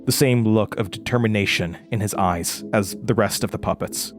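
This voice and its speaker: An actor playing a haunted, frightened man recounting a horror ordeal in a halting, intense way, as in an audio drama. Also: lowish voice, over music, lightly acted. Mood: haunted, tense. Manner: halting, stammering over words, intense. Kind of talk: first-person horror audio drama narration.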